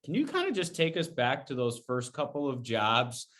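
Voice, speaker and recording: A man's voice: mid-range voice, wildly swooping pitch, moderate pace, fairly bright tone. A man co-hosting a business podcast, asking questions in an easygoing, enthusiastic way. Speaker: podcast co-host.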